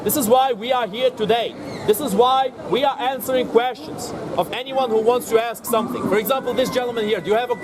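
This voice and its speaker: A man's voice: extremely high pitch, a little fast. A man speaking as a rally host, declamatory and emphatic, repeating his phrases for effect. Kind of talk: impassioned public speech at a street rally. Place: outdoors in an urban public space. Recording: noisy outdoor street with crowd and traffic.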